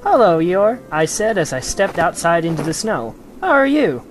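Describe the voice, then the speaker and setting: A woman's voice: lowish voice, normal speed, music in the background. A woman playing a kind, eager, earnest young boy who acts and narrates in an audio drama. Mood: friendly. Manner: earnest, lively, sympathetic storytelling. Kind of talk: audio drama acting with first-person narration.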